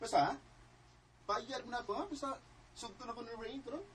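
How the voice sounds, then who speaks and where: A young Cebuano student suitor in a radio drama. He is a smooth talker with a soft, coaxing, pleading way of speaking. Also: extremely high pitch, brisk, a little hiss or hum. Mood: sly, boastful. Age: young adult.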